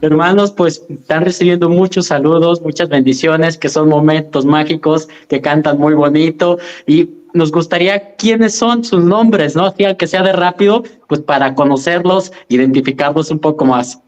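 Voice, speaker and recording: A man's voice: fairly high pitch, fairly even intonation, moderate pace, few pauses, darkish tone, highs cut off. A man speaking casually as a host of an online religious gathering.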